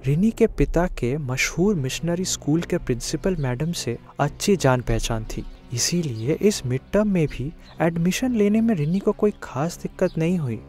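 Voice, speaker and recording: Mellow male storyteller, slightly high voice. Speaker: narrator. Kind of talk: scripted children's story narration.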